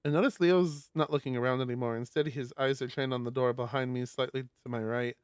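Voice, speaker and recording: A man's voice: mid-range voice, steady tone, a little fast, clear, resonant tone, no echo, studio quality. A man reading a visual novel aloud on a stream, expressively, with casual sweary asides.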